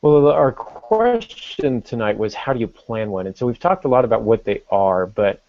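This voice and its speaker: A man hosting a casual writing podcast, explaining things in a measured, hesitant way. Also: medium pitch, lively intonation, slight background noise. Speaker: podcast host and novelist. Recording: dull lo-fi podcast audio.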